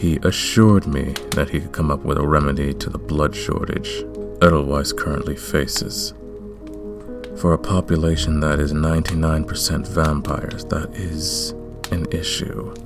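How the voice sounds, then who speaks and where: A man playing a courtly, commanding, lovestruck vampire king in a romantic ASMR roleplay, speaking in a formal, deliberate way. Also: very deep voice, flat delivery, vocal fry, over music, full character voice. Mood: stern, regretful.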